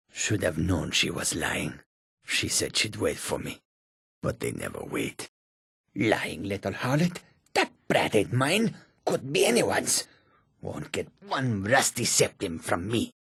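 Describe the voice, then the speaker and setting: Gravelly, surly cat-man bandit. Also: low-pitched, fairly bright tone, no room sound, clean studio sound, heavily acted. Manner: coarse, clipped, grumbling. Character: rough, surly, greedy male cat-folk bandit. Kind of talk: fantasy video game character acting.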